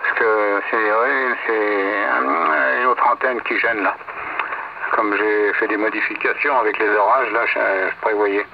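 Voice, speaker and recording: A man's voice: lowish voice, fairly even intonation, measured pace, gravelly, lo-fi sound. A man in casual technical chat as an amateur radio operator. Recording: distant, noisy, band-limited radio transmission.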